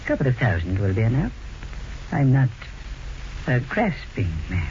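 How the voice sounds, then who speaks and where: An actor playing a suave elderly blackmailer with mannered, falsely polite speech in an old radio mystery. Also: male voice, mid-range voice, huge pitch swings, moderate pace, a little mellow. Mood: smooth, menacing. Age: older. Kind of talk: 1940s radio mystery acting. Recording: noisy, dull vintage radio recording.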